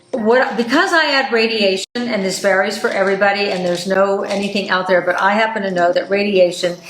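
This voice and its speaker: An older woman hosting a podcast, talking in a chatty, animated way with many fillers. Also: medium pitch. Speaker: podcast host. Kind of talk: casual health talk. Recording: echoey room with faint noise.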